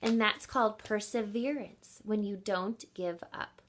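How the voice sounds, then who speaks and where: A woman teacher reading a picture book aloud to children in an expressive, instructive way, with deliberate emphasis. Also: mid-range voice. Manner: teacherly, asks listeners questions, deliberate emphasis.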